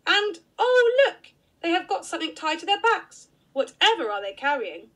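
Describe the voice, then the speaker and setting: A woman voicing an eager, polite little boy in a storybook reading, with excited exclamations. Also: extremely high pitch, moderate pace, pitched above the performer's own voice, heavily acted.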